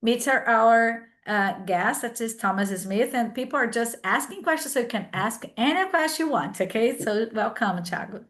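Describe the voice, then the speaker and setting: A woman hosting an English conversation class over a video call, polite and explaining in slightly halting English. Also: a little high, fairly bright tone. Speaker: English teacher and host.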